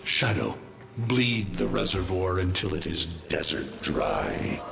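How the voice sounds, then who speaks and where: A man playing a menacing ancient video game villain who gives slow, imperious commands. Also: expressive, exaggerated voice. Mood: commanding, menacing. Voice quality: deep, gravelly. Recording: music behind the voice.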